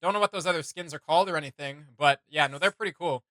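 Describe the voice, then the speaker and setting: A man's voice: on the high side, quick pace, bright, forceful tone, no echo. A man hosting a casual reaction video, chatting in an enthusiastic, rambling and slangy way.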